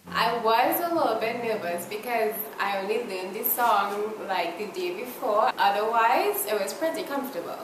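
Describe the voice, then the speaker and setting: A woman's voice: on the high side, expressive, moderate pace, few pauses. A woman singer talking candidly and a bit hesitantly in an interview. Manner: hesitant, candid about nerves. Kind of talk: casual interview. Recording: distant, very echoey, noisy room.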